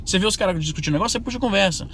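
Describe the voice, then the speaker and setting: A Brazilian man from Fortaleza in his thirties, vlogging casually about emigrating, with a slangy, animated way of talking and frequent swearing. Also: high voice, rapid-fire. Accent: neutralized Brazilian Portuguese. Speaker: YouTuber. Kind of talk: casual vlog monologue. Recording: loud street and car noise.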